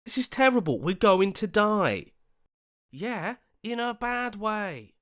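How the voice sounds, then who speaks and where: An anxious, earnest young hero from a video game, pleads and hesitates as he speaks. His pitch moves about a lot, sliding between worry and protest. The sound is dry and close, with no room on it. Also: big pitch swings, slow pace, fairly bright tone, dry recording, heavily acted. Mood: frightened.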